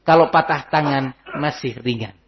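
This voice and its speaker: A man's voice: medium pitch, moderate pace, slight background noise. An Indonesian man giving an informal religious lecture as an Islamic teacher, conversational and didactic, often posing rhetorical questions. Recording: dull, lo-fi lecture recording.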